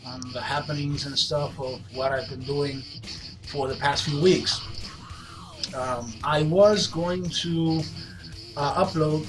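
A man's voice: fairly high pitch, low-quality recording. A man in his fifties with a stuffed-up, congested voice, giving a rambling webcam update to his gaming channel's viewers, with lots of fillers and restarts. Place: small room with wood-panel wall. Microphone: webcam at desk. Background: guitar music playing.

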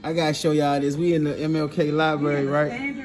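A man filming a phone video, excited and speaking in casual slang as a father. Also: fairly high pitch, brisk, a crowd in the background.

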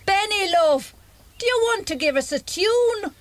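A woman's voice: very high-pitched, animated, unhurried, a little hiss or hum. A woman playing an anxious, tender-hearted mother, pleading and emotional, in an audio drama. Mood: coaxing.

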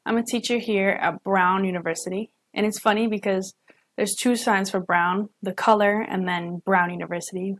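A young woman, a student interpreter, voicing a signed lecture live at home into a webcam, pausing and correcting herself as she goes. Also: mid-range voice, steady tone. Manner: halting, with self-corrections and restarts. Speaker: student sign language interpreter.

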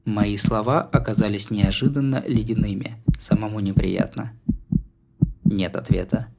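A man's voice: lowish voice, fairly even intonation, normal speed, vocal fry, darkish tone. A man reading a novel aloud as an audiobook narrator, in a dramatised scripted style. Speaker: audiobook reader.

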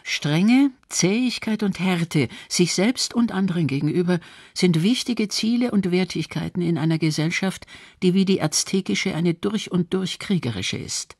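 A man's voice: high voice, moderate pace, no echo, studio quality. A man reading scripted documentary narration in the role of narrator.

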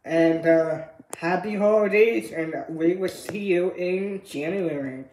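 A woman playing a gruff, casual male schoolteacher in an amateur audio roleplay. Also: fairly low pitch, slow pace, darkish tone, slight background noise. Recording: roomy, echoing home recording.

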